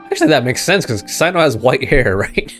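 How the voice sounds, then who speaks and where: Animated, slangy male gaming streamer. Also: mid-range voice, fairly quick. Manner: informal, slangy, interjecting. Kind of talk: casual live reaction commentary. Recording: game music behind commentary.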